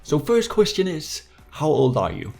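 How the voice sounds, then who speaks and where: A man's voice: fairly high pitch, huge pitch swings, normal speed, gravelly, darkish tone, faint music behind. An eighteen-year-old man from Denmark with a Danish accent, chatting casually and jokily to viewers as a YouTuber. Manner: jokey, slangy, playfully sarcastic. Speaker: YouTuber hosting a Q&A.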